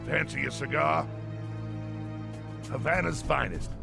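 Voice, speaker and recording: An actor playing a brash, bossy older military colonel who talks down to everyone. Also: male voice, a little high, unhurried, vocal fry, over music. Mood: gruff. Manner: blustering, commanding, condescending. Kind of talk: video game voice acting.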